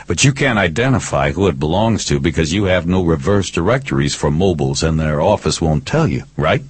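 A man voicing a blunt, pushy suspect in an audiobook, speaking in a commanding, sardonic way with a husky voice. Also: low voice, expressive, brisk, no room sound. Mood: insistent.

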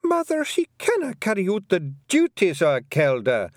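A man doing a sharp-tongued fairy daughter. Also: sits high, huge pitch swings, moderate pace, no echo, clean studio sound, voice pushed up. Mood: sharp, sulky. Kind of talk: audiobook character voice.

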